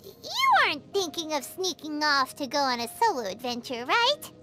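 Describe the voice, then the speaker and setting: A woman voicing a tiny, childlike fairy companion in a video game, excitable, greedy and whiny, with a squeaky voice. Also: normal speed, over music. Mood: cheerful. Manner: exclamatory, refers to self in third person. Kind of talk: video game character dialogue.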